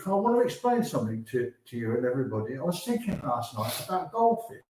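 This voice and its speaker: A man's voice: mid-range voice, very animated, fast, darkish tone. A man, a guest author, hungover and rambling as he muses aloud over breakfast. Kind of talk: casual chat. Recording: echoey room, off-mic, faint noise.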